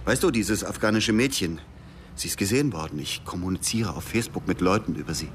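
A male actor as an anguished man in a naturalistic radio drama. His voice sits low, and he talks haltingly, breaking off and repeating himself like someone stubbornly obsessed. Faint background ambience surrounds him. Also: slight background noise, close to an everyday voice. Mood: wistful, obstinate. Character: anguished, obsessive man searching for a missing girl.

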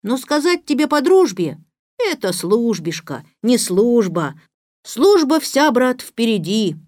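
Woman as a caring little magic horse, high-pitched. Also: sing-song, takes it slowly, darkish tone, no room sound, clean studio sound, full character voice. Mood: chiding, reassuring. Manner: tender, coaxing, advising. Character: loyal, caring little magic humpbacked horse, wise and gently chiding. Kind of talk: dramatized verse fairy-tale reading.

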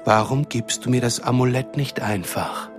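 Man acting a coldly menacing, smooth-talking villain. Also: mid-range voice, moderate pace, over music, natural voice. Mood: cruel, coaxing. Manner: smooth-talking, taunting, threatening. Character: menacing, condescending male shapeshifter villain, coldly cruel. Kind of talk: German radio drama acting.

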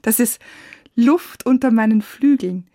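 A woman's voice: on the high side, a little slow, mellow, no echo. A woman reading literary first-person narration for a radio feature, recorded as a close voice-over. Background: music.

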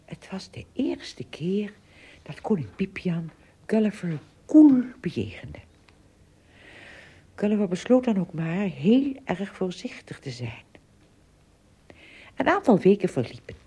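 A woman with a husky voice narrating an adventure radio play as scripted storybook narration. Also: medium pitch, sing-song, slow pace, long pauses, mellow.

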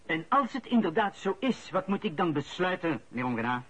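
An actor playing a probing, politely insistent police inspector with a Flemish accent in a radio play. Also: male voice, sits high, very animated, brisk, fairly bright tone, slightly rough, full character voice. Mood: probing, insinuating. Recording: dull lo-fi radio play recording.

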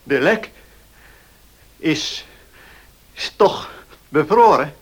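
A sick, stubborn notary in a radio drama. He forces his words out very slowly and haltingly, with a husky, strained voice and desperate resolve. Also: fairly high pitch, animated, exaggerated voice. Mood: weak, commanding. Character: ailing, stubborn notary, desperate to make amends. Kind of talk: radio play acting.

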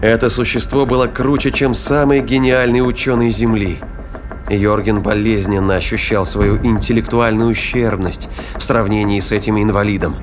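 A man's voice: fairly low pitch, little pitch movement, moderate pace, music in the background. A man narrating a science fiction audio drama from a script.

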